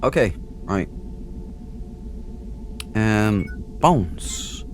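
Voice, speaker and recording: A man's voice: fairly low pitch, big pitch swings, extremely slow, soft background music. A man giving casual, playful let's play commentary with chatty, joking asides. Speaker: gaming host. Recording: clear background noise under voice. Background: game ambience.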